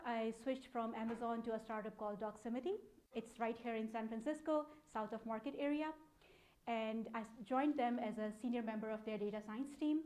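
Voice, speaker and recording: A woman's voice: fairly high pitch, darkish tone. A woman in her thirties with a Pakistani accent, giving an informal talk in an explanatory, conversational way. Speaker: speaker, data analytics program manager. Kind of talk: conference talk, informal presentation.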